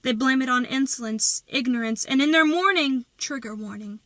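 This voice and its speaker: A woman performing slam poetry as a poet, with dramatic, deliberate delivery and emphatic phrasing. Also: high-pitched, fairly bright tone, no room sound.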